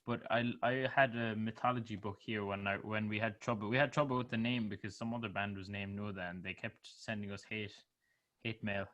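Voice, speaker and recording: A man with an Irish accent, a band member talking informally on a video call, often trailing off softly. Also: on the low side, fairly even intonation, a little fast, muffled recording. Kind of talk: casual interview chat.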